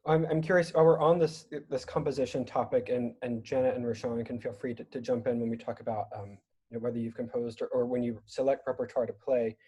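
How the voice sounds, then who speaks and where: A man's voice: mid-range voice, fairly even intonation, quick pace, warm tone, husky. A man moderating a casual discussion over a video call, asking questions in a tentative, meandering way. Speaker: panel moderator. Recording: dull, lo-fi video call audio.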